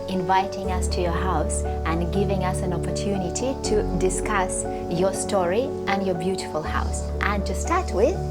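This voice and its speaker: Woman, interviewer, enthusiastic, promotional tone, mid pitch, background music. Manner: enthusiastic, gushing praise. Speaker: interviewer, real estate CEO. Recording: music playing underneath.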